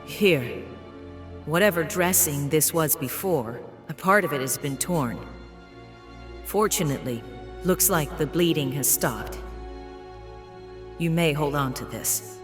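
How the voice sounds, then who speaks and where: An actor playing a helpful church attendant in an audio drama, gentle and matter-of-fact. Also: male voice, fairly high pitch, takes it slowly, pauses often. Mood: gentle, practical. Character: soft-spoken, helpful church attendant tending a wound. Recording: long reverb, music underneath. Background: music.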